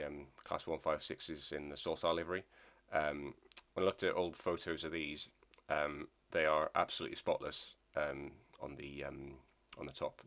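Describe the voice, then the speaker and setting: A man with an extremely low voice talks casually about his hobby, pausing often with ums and restarting his sentences. He sounds nasal from a lingering cold. His delivery stays flat and even. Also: gravelly. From Scotland. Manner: hesitant, many ums and restarts. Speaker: model railway hobbyist. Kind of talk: casual hobby video narration.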